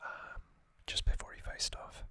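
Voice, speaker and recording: A man whispers in a breathy ASMR style, taking his time over each phrase. The sound is soft yet very bright and sibilant, as he informally explains trivia like a host guiding a listener. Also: unhurried, dry recording.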